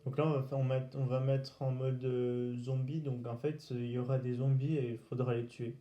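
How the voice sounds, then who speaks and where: A man giving casual gaming commentary in a halting, hesitant way, searching for words. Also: medium pitch, flat delivery, lo-fi sound. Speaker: gaming video host. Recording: roomy echo, faint background noise.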